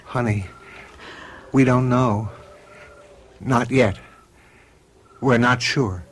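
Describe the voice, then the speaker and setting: Gentle American husband, faintly noisy recording. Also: male voice, medium pitch, very slow. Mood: gentle, resigned. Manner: hesitant, reassuring. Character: gentle, steadying husband and father, quietly troubled. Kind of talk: radio drama acting.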